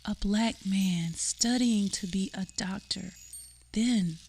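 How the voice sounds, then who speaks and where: A woman giving a solemn, measured poetry reading in a radio theater production. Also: mid-range voice, darkish tone, slight background noise. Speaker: poem reader.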